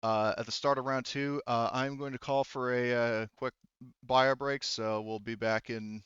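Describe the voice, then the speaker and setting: A man speaking casually and haltingly as game master of a tabletop roleplaying game, thinking aloud as he goes. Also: mid-range voice, fairly even intonation, a bit bright, clean tone, no echo, dull audio.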